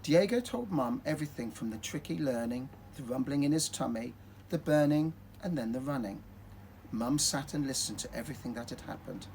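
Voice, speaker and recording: Animated Englishman reading a children's story. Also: mid-range voice, moderate pace, creaky, a little hiss or hum, low-quality recording. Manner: expressive, dramatic storytelling. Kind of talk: children's story read aloud.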